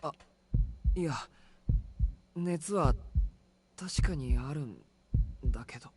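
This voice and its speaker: A man playing a mild, considerate high school boy in a Japanese visual novel. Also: unhurried, breathy edge. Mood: polite, hesitant. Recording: loud game music behind. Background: game music.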